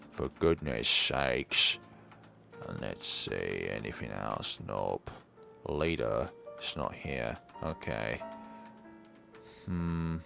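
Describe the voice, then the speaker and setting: A man with an extremely deep, gravelly voice chats slowly and calmly while streaming a game. He mutters to himself as he clicks through menus. Soft game music plays faintly behind him. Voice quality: naturally deep voice. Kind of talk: casual live-stream gameplay chat.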